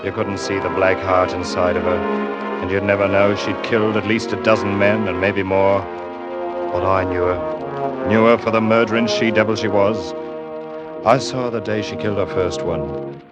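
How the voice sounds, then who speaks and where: Seasoned seafaring storyteller played by a male actor, low and grim, vintage radio drama with loud music underneath. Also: fairly even intonation, moderate pace, muffled recording, lightly acted. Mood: grim, brooding. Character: seasoned male sailor grimly recalling a murderous ship. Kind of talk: classic radio drama narration. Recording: old radio recording, loud music behind.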